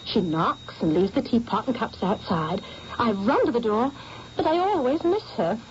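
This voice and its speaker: An actress playing a young artist's model in an old radio drama, curious and sincere. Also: female voice, on the high side, animated, normal speed, close to an everyday voice. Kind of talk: 1970s radio drama acting. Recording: dull old radio broadcast, faint hiss.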